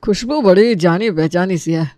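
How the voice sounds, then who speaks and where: A man's voice: high voice, normal speed, darkish tone, no room sound, clean studio sound, lightly acted. A man voicing a playful, good-natured, food-loving family man in an Indian cartoon story. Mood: intrigued.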